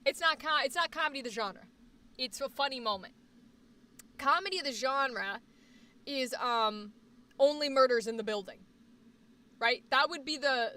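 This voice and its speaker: A woman's voice: a little high, pushed and bright, far from the mic. A woman hosting a casual livestream chat, talking in a rambling, emphatic, opinionated way, with a slight Boston accent. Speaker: livestream host.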